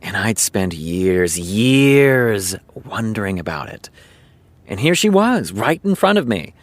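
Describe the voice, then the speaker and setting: A man playing an easygoing, earnest TV showrunner in an audio drama, confiding in casual storytelling with dramatic pauses. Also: fairly low pitch, very animated, no room sound. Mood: confiding storyteller. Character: easygoing male TV showrunner, chatty earnest raconteur. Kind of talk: audio drama acting, naturalistic dialogue.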